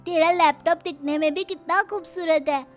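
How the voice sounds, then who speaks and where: A woman's voice: extremely high pitch, steady tone, normal speed, soft background music, voice pushed up. A woman voicing an eager, admiring schoolboy in a cartoon story. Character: eager, admiring schoolboy friend begging to play.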